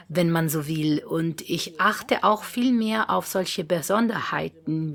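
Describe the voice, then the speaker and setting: A woman from Italy reflecting on language in a measured personal statement. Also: on the low side, creaky.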